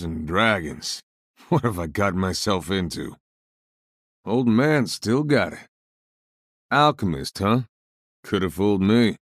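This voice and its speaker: An actor playing a wry, laid-back gunslinger in a video game, with a drawling American Southern accent and folksy, cocky manner. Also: male voice, lowish voice, no room sound, studio quality. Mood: amused. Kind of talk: video game character voice lines.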